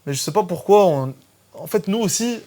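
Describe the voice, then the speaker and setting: A man hosting a podcast in a studio, chatting casually and pausing to explain terms for listeners. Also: high-pitched, expressive, dark tone. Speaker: podcast host.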